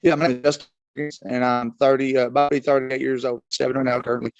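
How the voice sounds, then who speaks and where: A man in his thirties talks earnestly as a guest, halting often on fillers. His voice stays flat and even. The audio is dull and dry. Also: medium pitch, no echo. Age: 30s. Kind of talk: casual chat. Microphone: video call setup.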